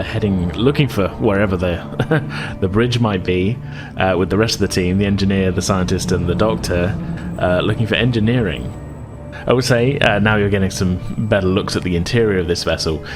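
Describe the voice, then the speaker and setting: A man's voice: low voice, fairly quick. A man narrating casually as game master, informal and explanatory, feeling his way through sentences. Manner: hesitant, informal, explanatory, chuckling asides. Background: background music.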